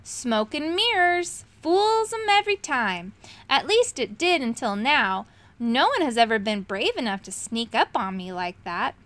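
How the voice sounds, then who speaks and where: A woman voicing a small, touchy male dragon who squeaks out his words. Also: sing-song, unhurried, fairly bright tone, no room sound, heavily acted. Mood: boastful. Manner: squeaky and indignant.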